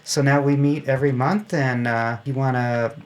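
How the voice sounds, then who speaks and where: Man in a halting, casual interview. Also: mid-range voice, fairly even intonation, a little mellow. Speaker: radio club member giving an interview.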